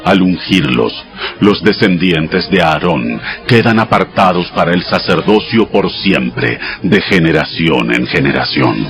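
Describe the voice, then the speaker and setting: Ageless God in audio scripture drama, very deep, solemn, commanding, strong music underneath. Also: male voice, animated, measured pace. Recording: loud music bed under voice.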